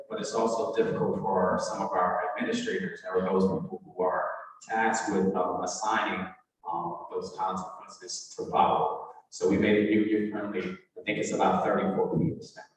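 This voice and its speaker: A man's voice: deep voice, level tone, moderate pace. A man giving a formal, explanatory presentation as a school district administrator at a meeting. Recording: very echoey, reverberant hall, distant mic.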